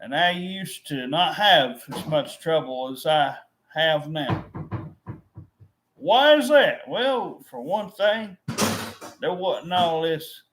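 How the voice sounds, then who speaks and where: Man in middle age, fairly high voice, rambling frustrated vent to camera with lots of restarts. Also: fairly bright tone, slight background noise, rough recording. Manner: frustrated, repetitive, with many restarts. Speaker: vlogger.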